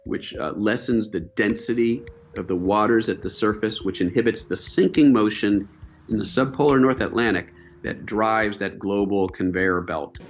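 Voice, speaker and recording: A man's voice: on the low side, moderate pace, slight background noise. A man, a climate scientist, explaining fluently and emphatically in a documentary interview. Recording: dull lo-fi video audio with faint music.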